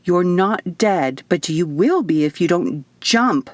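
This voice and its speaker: A woman's voice: fairly low pitch, expressive, takes it slowly, no room sound. Woman reading as an exasperated, urgent man. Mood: urgent warning. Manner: pleading, terse.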